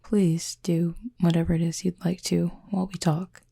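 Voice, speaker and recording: A woman playing an injured fallen cupid in an intimate roleplay audio, soft and breathy, with a formal, measured, dryly aloof but curious manner. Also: lowish voice, little pitch movement. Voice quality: soft, breathy, husky with fry. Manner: measured, formal, wordy phrasing.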